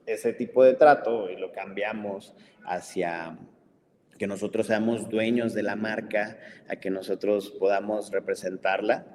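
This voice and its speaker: A man hosting an online talk in a relaxed, conversational way, with lots of filler words. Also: fairly low pitch, a little slow. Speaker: webinar host. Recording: long reverb, faint background noise.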